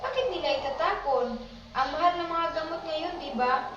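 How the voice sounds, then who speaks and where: A woman's voice: high-pitched, moderate pace, background noise, voice pushed up, lightly acted. A woman playing a caring, fretful older household nanny in an amateur radio drama. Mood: chatty, curious. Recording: roomy, distant, dull sound under loud music.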